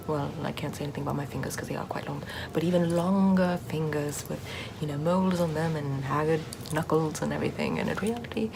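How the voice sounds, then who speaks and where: A woman's voice: low-pitched, voice pushed down. Woman playing a whispering, sardonic witch over background noise. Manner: soft-spoken, often whispering, slow and conversational. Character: sardonic Puritan-era witch, chatty, scornful yet soothing healer. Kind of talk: ASMR roleplay. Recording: clear background ambient noise.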